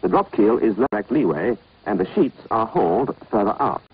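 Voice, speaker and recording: British man, lively narration, dull old film soundtrack. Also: lowish voice, normal speed, slightly rough. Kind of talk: scripted instructional film narration.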